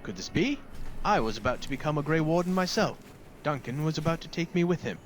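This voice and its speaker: An actor playing an earnest, courteous and loyal knight with formal speech in a fantasy video game. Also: male voice, a little high, animated, brisk, faint music behind, lightly acted. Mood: surprised, hopeful.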